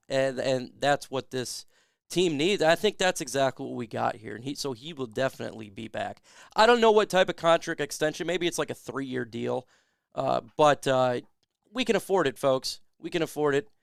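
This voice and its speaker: A man's voice: fairly high pitch, gravelly, no echo, clean studio sound. A man hosting a casual sports talk show who is losing his voice, speaking emphatically and reading out viewer questions. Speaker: podcast host.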